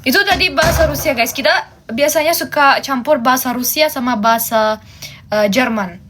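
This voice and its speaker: A woman's voice: sits high, normal speed, noisy background. A woman from Germany, chatting casually and talkatively to viewers as a livestream host. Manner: chatty, switches between languages. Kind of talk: casual chat with viewers while doing makeup.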